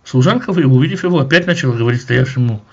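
Husky-voiced man teaching scripture. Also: mid-range voice, lively intonation, dull audio. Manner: measured, explanatory, with occasional hesitations. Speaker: Bible teacher. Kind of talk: verse-by-verse Bible commentary.

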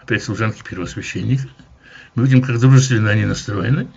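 A man teaching verse by verse through a Bible chapter, in a measured, explanatory way with occasional hesitations. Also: fairly low pitch, vocal fry, a little mellow, breathy edge, highs cut off.

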